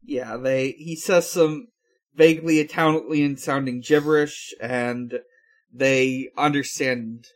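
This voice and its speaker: A man hosting a podcast, giving a casual recap in a halting, unhurried way full of hesitations. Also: medium pitch, steady tone. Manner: halting, filler-heavy, unhurried. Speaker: podcast host. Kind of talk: casual episode recap with frequent pauses.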